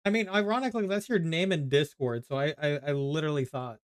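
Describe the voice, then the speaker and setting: A man's voice: a little high, fast, clear, resonant tone. A man casually streaming a video game, rambling half to himself and half to his viewers. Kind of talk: casual live gameplay chat.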